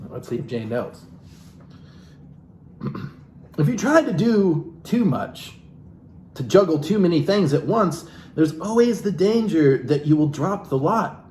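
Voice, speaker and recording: A man's voice: fairly high pitch, very animated, measured pace, long pauses, a little mellow. A man reading a self-help book aloud in a theatrical, playful way, breaking off into wry, joking asides of his own. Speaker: comedic reader and commentator. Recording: echoey room with background hiss.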